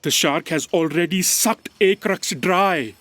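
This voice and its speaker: A sensible, measured aide acted by a man in a space comedy audio play. The recording is dry and close, the delivery apologetic and earnest. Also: high voice, fairly bright tone, no room sound. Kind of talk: comic sci-fi audio drama.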